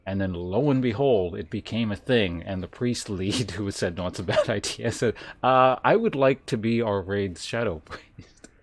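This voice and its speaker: A man's voice: on the low side, moderate pace, hardly any pauses. A man streaming a game, chatting casually in a wry, rambling, conversational way as he comments. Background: game music.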